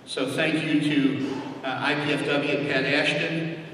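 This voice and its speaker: A man hosting an event, giving a formal, earnest introduction of guest speakers with deliberate phrasing. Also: a little high, fairly even intonation, sharp tone. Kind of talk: formal public introduction speech. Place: large reverberant indoor venue. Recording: echoey hall from far off, faint noise.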